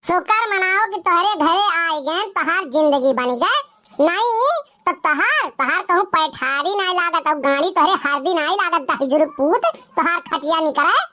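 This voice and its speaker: A performer voicing a fat, sharp-tongued cartoon village woman, scolding and taunting with drawn-out wails in an Awadhi accent. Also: extremely high pitch, expressive, heavily acted. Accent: Awadhi, eastern Uttar Pradesh. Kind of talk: rustic comedy cartoon dialogue.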